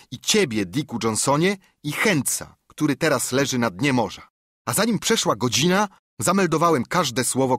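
A man acts a brave boy in a sea-adventure storybook. The voice is bold and defiant, with forceful edges, a husky grain and lively rises. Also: medium pitch, no room sound, studio quality, pitched above the performer's own voice. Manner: bold, declamatory. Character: defiant, brave boy narrator facing down pirates. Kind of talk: audiobook character acting.

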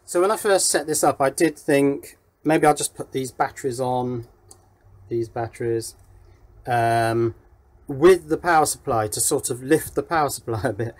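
A man's voice: medium pitch, normal speed. A man giving a casual hands-on electronics demonstration, thinking aloud as he works. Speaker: electronics hobbyist presenter. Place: indoor workshop.

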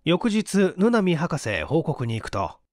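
A male narrator reads literary fiction as an audiobook. His pitch is a little high for a man and very animated, and the recording is close and dry. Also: measured pace, dry recording. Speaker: audiobook narrator and stage actor.

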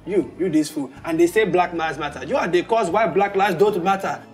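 A young man with a Nigerian accent, playing a loud, mocking bar patron who picks fights. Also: high-pitched, a little fast, soft background music, close to an everyday voice. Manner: mocking, combative, swinging from shouts to mutters. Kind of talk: screen acting.

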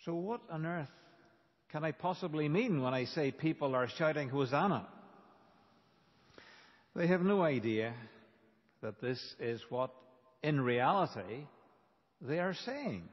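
A man preaching a sermon as a pastor, in a deliberate, emphatic manner with dramatic pauses. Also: fairly high pitch, lively intonation. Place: large reverberant church. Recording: dull, reverberant church hall recording.

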